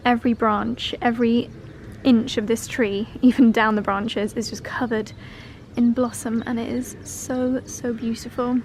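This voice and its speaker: A woman's voice: fairly high pitch, little pitch movement, a little slow, a little mellow, a little hiss or hum. Gushing woman vlogger. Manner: effusive, gushing. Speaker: lifestyle vlogger. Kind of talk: casual vlog.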